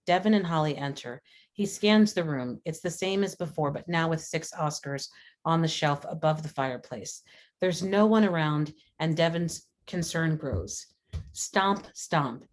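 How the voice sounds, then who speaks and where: A woman reading scripted stage directions as a narrator, in a measured, descriptive manner. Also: sits low.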